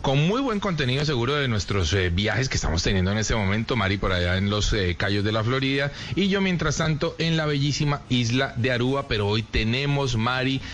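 A man hosts a radio travel show in a conversational way. His voice is medium in pitch, with faint noise behind it. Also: a little fast, few pauses, fairly bright tone. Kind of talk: conversational interviewing.

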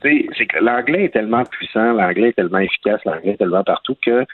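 A man with a Quebec French accent talking casually on the radio as a guest, relaxed and hesitant with many fillers. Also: lowish voice, fast. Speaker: columnist guest. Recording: phone line.

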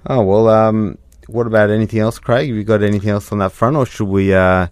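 A man's voice: fairly low pitch, fairly even intonation, a little fast, darkish tone, clear, resonant tone, no room sound, studio quality. A middle-aged man hosting a radio show, chatty, explaining things patiently with plenty of fillers. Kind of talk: casual radio chat.

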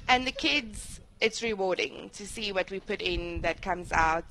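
A schoolteacher woman with a voice of middle height. She speaks loudly and warmly about her class and laughs easily between answers. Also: medium pitch, a little slow, creaky, poor audio. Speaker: grade three teacher. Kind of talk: casual interview answers.

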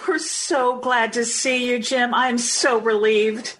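A woman's voice: a little high, steady tone, slow, a bit bright. An actress playing an affectionate, earnest 1950s mother and wife in an old-time radio drama. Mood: relieved, tender. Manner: tender, earnest.

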